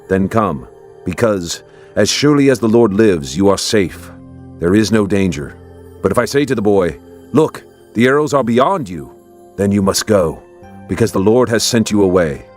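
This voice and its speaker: An earnest young prince in a scripture audio drama. His fairly low voice moves widely and expressively as he speaks with solemn, emphatic loyalty. Soft music sits behind him. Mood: earnest instruction.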